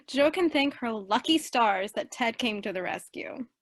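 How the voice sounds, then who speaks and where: Radio play older sister: high-pitched, caring, reassuring, practical. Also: female voice, expressive, takes it slowly, fairly bright tone, full character voice. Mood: concerned. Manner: reassuring, matter-of-fact.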